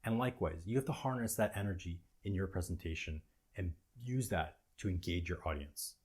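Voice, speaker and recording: A man with a low, husky voice speaks as a presentation coach hosting an instructional video. He talks in short, emphatic phrases and sets off key words with deliberate pauses. The tone has a rough grain and sits deep in the male range. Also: darkish tone. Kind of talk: instructional talk to camera.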